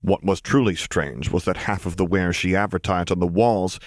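Low-voiced man narrating fiction, dry close sound. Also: steady tone, normal speed, no echo. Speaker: audiobook reader, narrator.

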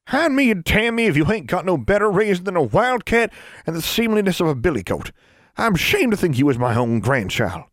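A man voicing a stern, folksy great-grandmother with an Ozark accent, scolding in a high drawling voice. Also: big pitch swings, a little fast, no room sound, clean studio sound. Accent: Ozark rural American. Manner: scolding, drawling, high put-on old-woman voice. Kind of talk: audiobook character voice.

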